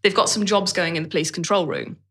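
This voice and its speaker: A woman's voice: lowish voice, fast talker, a bit bright. A British woman telling her own career story as an interview guest in a candid, colloquial and self-deprecating way. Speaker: interview guest, business owner. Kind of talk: conversational personal career story.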